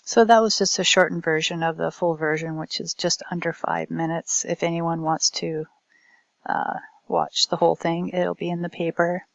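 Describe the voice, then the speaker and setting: A woman giving a recorded academic presentation as a language instructor, speaking in a halting, hesitant way. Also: on the low side, steady tone, creaky, no room sound, highs cut off.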